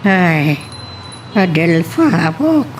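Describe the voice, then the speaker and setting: A woman's voice: lowish voice, big pitch swings, extremely slow, exaggerated voice. A woman playing a fretful elderly grandfather with a Filipino accent in an audio drama, drawing out exclamations. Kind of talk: amateur audio drama acting. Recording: faint background noise.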